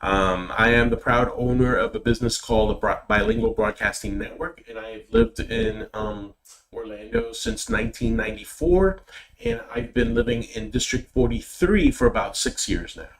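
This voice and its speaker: A man's voice: medium pitch, lively intonation, moderate pace, creaky, slight background noise, poor audio. A middle-aged man from Puerto Rico with a Puerto Rican accent, a native Spanish speaker answering as a political candidate, hesitant and repeating words. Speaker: political candidate being interviewed. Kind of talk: interview answers.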